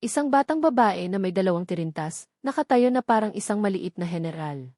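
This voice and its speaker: A woman's voice: mid-range voice, animated, a little fast, mellow, no echo, clean studio sound. A woman reading a scripted dramatic story as its narrator.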